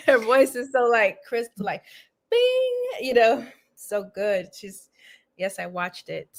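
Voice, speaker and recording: A woman joins an online chat as co-host from a room at home. Her voice is a little high, and she teases and laughs easily. The sound comes over a video call. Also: huge pitch swings, slow, pauses often. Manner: giggly, teasing banter. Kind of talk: casual livestream chat.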